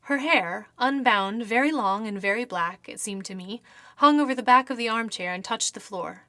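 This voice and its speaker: Bright, dramatic woman reading horror. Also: a little high, normal speed, no echo, studio quality. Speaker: story reader. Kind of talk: dramatic scripted horror narration in first person.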